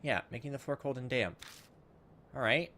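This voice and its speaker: A man's voice: medium pitch, normal speed, pushed and bright. Casual man narrating a game, noisy background. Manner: casual, thinking aloud, slipping between reading and remarks. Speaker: video game playthrough host. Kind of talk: gameplay commentary, reading visual novel dialogue aloud.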